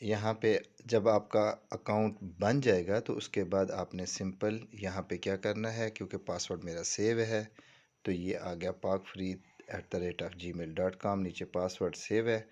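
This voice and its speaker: Low-voiced Pakistani man explaining step by step. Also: fairly even intonation, a little slow, darkish tone, muffled recording. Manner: explanatory, repeatedly checking the listener follows. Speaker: tutorial presenter. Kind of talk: informal screen walkthrough.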